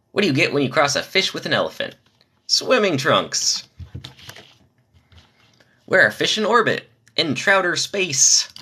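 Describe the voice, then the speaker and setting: Lively young man rattling off jokes. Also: husky. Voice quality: speaking low from the diaphragm to spare his nasal voice. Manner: rapid-fire joke delivery, lively. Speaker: streamer. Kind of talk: live-stream joke marathon.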